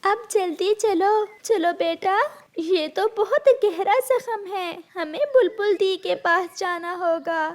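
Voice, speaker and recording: A caring cartoon mother bird, voiced by a woman. She has a very high, motherly voice and a slow, coaxing, slightly anxious delivery. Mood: alarmed.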